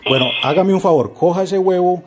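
A man's voice: high voice, fairly quick. A Colombian man making a prank phone call, speaking politely and with mock earnestness like an official running a survey. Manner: polite, feigned earnest, flattering. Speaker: prank caller. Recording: very noisy telephone call recording.

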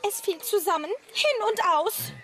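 A shrill, flustered young housemaid. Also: female voice, lively intonation, normal speed, a bit bright, soft background music. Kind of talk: old German radio play acting.

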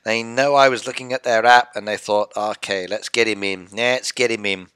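A middle-aged man talks casually into a headset mic while streaming a game, teasing his chat and joking about himself. His voice is low-pitched yet bright and forward, and the sound is close and completely dry. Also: fairly even intonation, normal speed, smooth, no room sound. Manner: chatty, playful, reads chat aloud and teases viewers. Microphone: headset with desk mic.

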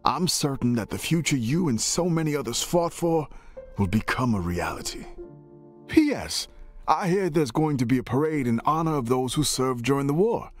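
Music plays under an actor as a proud older brother narrating a letter in a story game. He is a man with a mid-pitched voice, earnest, expressive and full of affection. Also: moderate pace, natural voice. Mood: fond, cheerful. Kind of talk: scripted dramatic letter narration.

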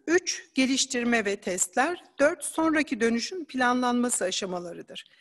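A woman giving an academic conference presentation, explaining slides in a measured way with occasional hesitant fillers. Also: a little high, long reverb tail.

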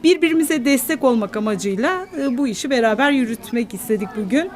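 A woman with a slightly high voice gives explanatory interview answers. She speaks as a curator and assistant, outdoors at an event. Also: lively intonation, quick pace.

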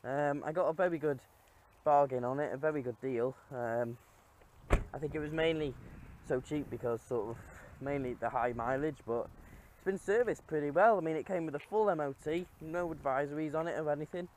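A man's voice: on the high side, fairly quick, a little hiss or hum. Rambling man outdoors on camera. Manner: relaxed, rambling, lots of ums. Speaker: car vlogger. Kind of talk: casual walkaround commentary. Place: outdoors on a quiet rural lane. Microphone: head-mounted action camera.

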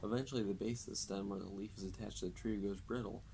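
Young male reader, low gravelly voice, deadpan delivery of a children's nature book. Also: fast talker. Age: young adult. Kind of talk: reading a children's non-fiction book aloud. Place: indoors, public library, at a table.

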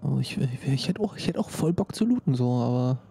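A man's voice: mid-range voice, lively intonation, fairly quick, gravelly, warm tone, husky. A man chatting in casual slang as a player in a tabletop game.

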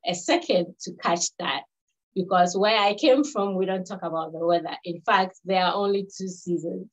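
A woman in her fifties from Ghana, English not her first language, giving a webinar talk in a measured way with pauses and restarts. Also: fairly low pitch. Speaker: health researcher presenting. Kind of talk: webinar presentation. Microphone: video call. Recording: dull lo-fi webinar audio.

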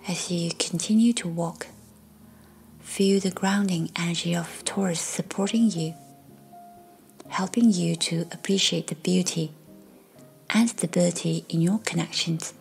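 A woman guiding a meditation in a soft, breathy, half-whispered voice, speaking gently in measured short phrases with long pauses. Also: fairly low pitch, fairly even intonation. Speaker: meditation guide. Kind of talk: guided meditation, slow scripted narration. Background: faint background music.

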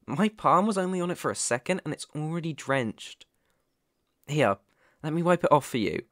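Man playing worried caring boyfriend, ASMR roleplay, soothing and reassuring, lively pitch movement, dry sound. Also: normal speed, no room sound. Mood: concerned. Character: caring, worried boyfriend fussing tenderly over sick partner.

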